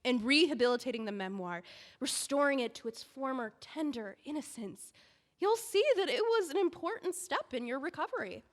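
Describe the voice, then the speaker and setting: Woman playing a bossy breezy editor lady, high bright voice that swoops around, echoey room. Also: big pitch swings, normal speed. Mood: persuasive. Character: pushy scheming woman book editor. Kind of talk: audio drama acting.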